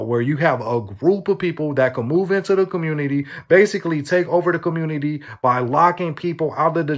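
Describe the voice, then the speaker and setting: Heated man on a dull lo-fi recording. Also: on the high side. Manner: heated, rhetorical.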